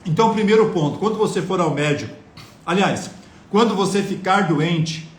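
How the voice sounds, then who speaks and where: A man's voice: high voice, expressive, normal speed. Earnest man lecturing in an echoey room. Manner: earnest, lecturing, rhetorical questions to viewers. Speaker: health educator hosting a live stream. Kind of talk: live-streamed health talk. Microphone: phone on mobile data.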